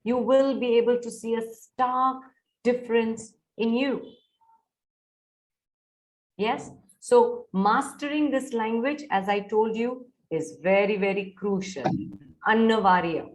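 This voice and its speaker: A middle-aged woman with an Indian English accent gives an online soft skills lecture to students. She coaxes them and keeps checking whether they are following. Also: a little high, slow, poor audio. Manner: coaxing, mixes Hindi into English, checks on students often. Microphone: video call.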